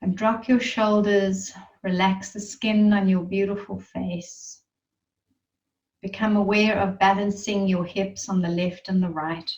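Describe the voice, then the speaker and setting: A woman leading a guided meditation in a soothing, reverent manner, leaving long pauses between phrases. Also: medium pitch, fairly even intonation, a little mellow. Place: online group gathering. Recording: muffled, roomy, distant online-call sound.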